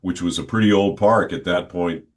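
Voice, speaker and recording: A man in his sixties, a guest on a podcast, telling stories from his childhood in an unhurried, conversational way. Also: sits low. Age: 60s. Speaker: interview guest, stadium announcer. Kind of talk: relaxed reminiscing conversation.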